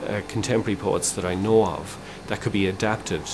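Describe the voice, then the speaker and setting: Thoughtful low-voiced man. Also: steady tone, fairly quick, background noise. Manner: thoughtful, hesitant with fillers. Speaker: interviewee. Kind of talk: documentary interview.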